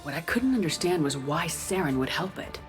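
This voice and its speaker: A woman playing a grave, determined human military commander in a video game, with a measured, authoritative delivery. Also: low voice, expressive. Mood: grave recollection. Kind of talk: video game dialogue and scripted narration. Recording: music under the voice.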